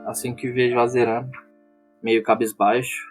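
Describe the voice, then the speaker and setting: Mid-pitched male roleplay player. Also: little pitch movement, moderate pace. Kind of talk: narrating his character in tabletop roleplay. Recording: music playing behind.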